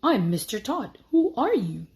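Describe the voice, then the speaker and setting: Lady doing a kind male kindergarten teacher in a kids book, slow careful rules talk, gently firm. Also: on the high side, sing-song. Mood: welcoming. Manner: measured, instructive. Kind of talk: children's storybook read-aloud.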